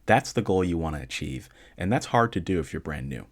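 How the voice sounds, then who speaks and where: A man hosting his own podcast, giving calm, measured advice to listeners in a relaxed, conversational way with a dry, deadpan humor. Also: low voice. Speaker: podcast host answering listener questions. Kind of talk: casual solo podcast talk.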